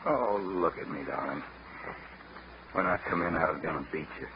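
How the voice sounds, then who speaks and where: An actor playing an earnest, exasperated young gentleman suitor in a vintage radio drama. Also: rumbling low, normal speed. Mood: tender. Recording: muffled, noisy old radio broadcast.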